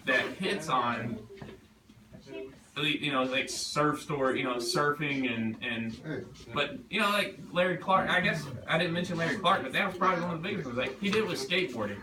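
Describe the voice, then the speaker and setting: A man's voice: on the high side, moderate pace. A man speaking as an author in an informal question session at a reading, rambling and self-deprecating as he thinks aloud. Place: bookstore event room. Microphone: distant room microphone. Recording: echoey room, distant mic, noisy background.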